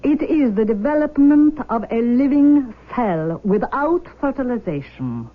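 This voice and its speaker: An actress playing an intense, imperious forty-year-old Russian mother in a 1970s radio drama, speaking in emphatic, deliberate declarations. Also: a little high, expressive. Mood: fervent, intense. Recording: muffled vintage radio broadcast recording.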